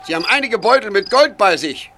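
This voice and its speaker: A man's voice: sits high, lively intonation, brisk, noisy background. A man playing a dutiful police officer in an audio drama, eagerly reporting to a superior. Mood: dutiful, brisk.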